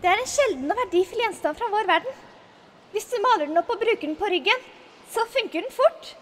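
A woman's voice: very high-pitched, lively intonation, fairly bright tone, a little hiss or hum. A woman voicing a devoted, cheerful young dragon maid in an anime fan dub. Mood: eager.

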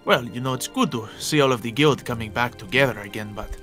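A man's voice: medium pitch, fast, a bit bright, soft background music, close to an everyday voice. A man playing an anxious, suspicious guild mentor in a game roleplay, with hesitant, formal, theatrical phrasing. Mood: measured, knowing. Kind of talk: improvised Minecraft roleplay acting.

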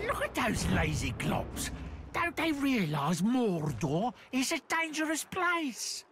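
An actor playing a contemptuous, battle-hungry orc brawler in a video game, rousing and scornful. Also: male voice, extremely high pitch, big pitch swings, slow pace, heavy background noise, exaggerated voice. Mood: scornful, eager. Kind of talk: video game character acting. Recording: music behind the voice.

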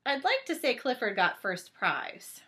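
A middle-aged woman reading a picture book aloud to children as a teacher, expressive and deliberate, with drawn-out emphasis. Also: on the high side. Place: small home bedroom, indoors.

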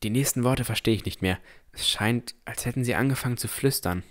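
A man's voice: medium pitch, fairly quick, natural voice. A man playing a cautious, earnest boy who narrates his own fantasy adventure in an audio drama. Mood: reflective narration. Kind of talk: first-person scripted narration and dialogue.

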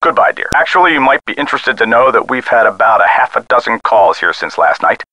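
An actor voicing a weary, skeptical American police sergeant in a video game, brusque, folksy and condescending, as if on a telephone call. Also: male voice, medium pitch, sing-song, brisk, pushed and bright, no echo. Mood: dismissive. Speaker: police missing-persons officer.

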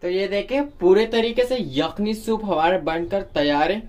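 A man's voice: sits high, moderate pace, darkish tone. A man giving a step-by-step cooking tutorial as a home cook, instructing viewers directly. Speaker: home cook presenting a recipe.

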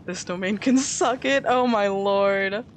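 A woman's voice: medium pitch, slow pace, slight background noise. A woman with a slight lisp, streaming a game and chatting playfully with her viewers in a casual way. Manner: chatty, playful, talks to chat. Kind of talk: casual gameplay commentary. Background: faint game music.

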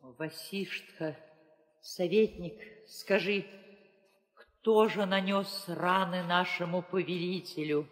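Slow, grieving queen. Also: lowish voice, fairly even intonation. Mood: solemn, urgent. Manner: declamatory, drawn-out. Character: grieving queen, mother of Rama, solemn and commanding. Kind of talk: 1960s Soviet theatrical audio drama acting. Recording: old reverberant studio recording with music.

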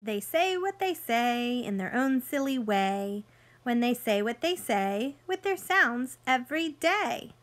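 A woman in her thirties reading a picture book aloud to young children like a teacher, expressive and sing-song, pausing to ask simple questions. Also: on the high side.

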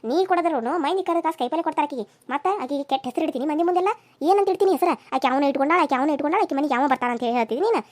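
Woman acting an outspoken high-voiced neighbour, North Karnataka accent. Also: rapid-fire, close to an everyday voice. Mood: scolding. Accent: North Karnataka Kannada. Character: outspoken, protective motherly neighbour woman, indignant. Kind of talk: family melodrama.

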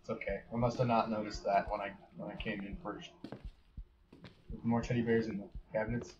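A man's voice: on the low side, flat delivery, normal speed. A man casually commentating on a horror game as he plays, thinking aloud with wry, muttered remarks. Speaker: gamer. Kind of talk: casual let's play commentary. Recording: slightly dull lo-fi mic with faint hiss.

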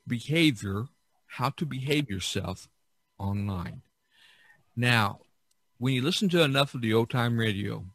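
A middle-aged American man hosts a podcast in casual talk. His voice is bright and forward, recorded close and dry. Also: medium pitch, dry recording. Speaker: podcast host.

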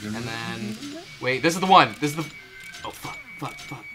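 An excitable, sweary player bantering casually through a video game fan dub. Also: medium pitch, moderate pace. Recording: game music behind the voice. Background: video game music.

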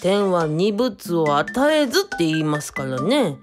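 A woman's voice: lowish voice, sing-song, unhurried, music in the background. A woman playing a boastful male cult leader in a radio drama, chatty, self-important and teasing. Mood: smug, teasing. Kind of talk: hobby radio drama dialogue.

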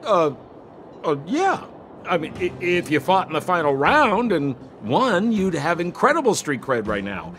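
A man's voice: sits high, sing-song, a little slow, fairly bright tone, slight background noise, full character voice. A man voicing a shifty, glib young con man in a video game, theatrical and full of exaggerated salesman patter. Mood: persuasive, glib.

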